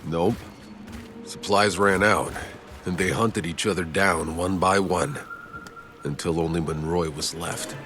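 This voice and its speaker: An actor playing a grim, matter-of-fact, battle-worn soldier in a video game cutscene. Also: male voice, sits low, fairly even intonation, a little slow, music in the background.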